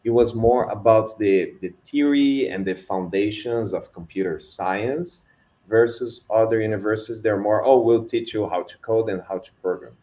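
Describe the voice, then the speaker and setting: Low-voiced man with a Brazilian accent. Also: normal speed, warm tone, poor audio. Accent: Brazilian Portuguese. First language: Portuguese. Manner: reflective, easygoing, self-deprecating. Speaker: guest. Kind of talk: conversational career talk on a webinar.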